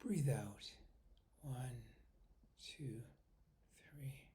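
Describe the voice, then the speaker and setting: Slow-paced man guiding a meditation. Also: medium pitch. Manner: unhurried, pausing between short phrases, often trailing off softly. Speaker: meditation guide.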